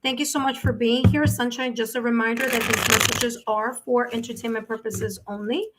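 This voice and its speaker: A woman's voice: on the high side, steady tone, normal speed, heavy background noise. A woman hosting a live tarot reading, talking to her viewers in a chatty way and often checking in with "okay?". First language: English, with Spanish as second language. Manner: chatty, repeats herself, tags sentences with "okay?". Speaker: tarot reader and live-stream host.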